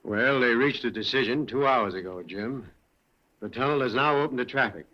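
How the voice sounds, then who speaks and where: An actor playing a brisk police inspector in an old radio drama. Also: male voice, mid-range voice, lightly acted. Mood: grave. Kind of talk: 1940s radio acting. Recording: dull old radio recording.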